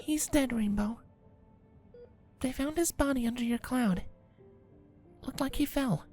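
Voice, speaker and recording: A woman voicing a gentle, sober young female pony, a soft-spoken and reassuring friend in a fan fiction audio drama. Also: sits high, measured pace, soft background music. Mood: sober, hushed.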